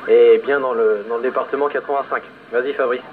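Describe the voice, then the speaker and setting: A man's voice: medium pitch, fairly even intonation, brisk. A man talking casually and technically as an operator over a two-way radio transmission. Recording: muffled radio signal buried in static.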